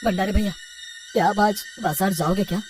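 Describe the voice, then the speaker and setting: A woman's voice: mid-range voice, steady tone, brisk, far from the mic, over music, natural voice. A woman voicing a trusting, grieving male farmer in a children's cartoon story, plaintive and repetitive. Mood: sorrowful.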